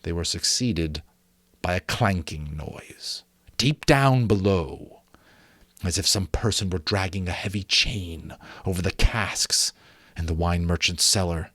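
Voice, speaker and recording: A man reading a classic story aloud as dramatic narration, theatrical and deliberate, building suspense. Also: sits low, fairly bright tone, no room sound. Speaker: amateur audiobook narrator.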